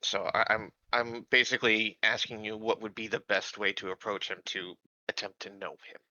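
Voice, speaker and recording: Very bright, lowish-voiced man playing a tabletop game. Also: level tone, normal speed, muffled recording. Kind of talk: casual in-game roleplay talk.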